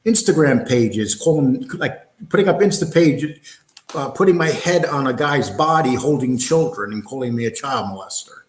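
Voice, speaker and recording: A man's voice: mid-range voice, animated, normal speed, slight background noise. A man hosting a casual livestream, talking in a blunt, combative, streetwise way. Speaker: show host. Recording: roomy, echoey sound.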